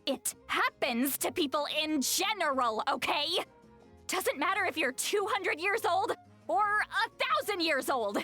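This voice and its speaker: A woman's voice: extremely high pitch, lively intonation, measured pace, pushed and bright, soft background music, heavily acted. A woman voicing a flustered, defensive girlish video game character who speaks dramatically and is easily embarrassed.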